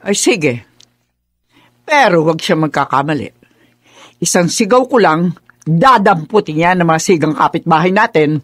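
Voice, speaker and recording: A woman's voice: on the low side, animated, normal speed, long pauses, no echo. An actress playing a fierce, protective middle-aged mother in a Filipino radio drama, scolding with melodramatic indignation. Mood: grudging, threatening. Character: fierce, protective older mother guarding her daughter. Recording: dry studio sound with music behind.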